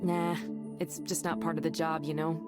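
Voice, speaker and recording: A woman's voice: deep voice, level tone, moderate pace, over loud music, lightly acted. A woman playing a self-assured supervisor in a visual novel, explaining things in a conversational, confident way. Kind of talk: naturalistic visual novel voice acting.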